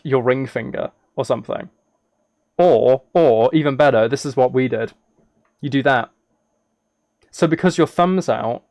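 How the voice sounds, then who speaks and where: Guy streamer rambling casually, kinda high voice, jokey mock-serious, dry close sound. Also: darkish tone, no room sound. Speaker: live streamer. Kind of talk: casual livestream chat while gaming.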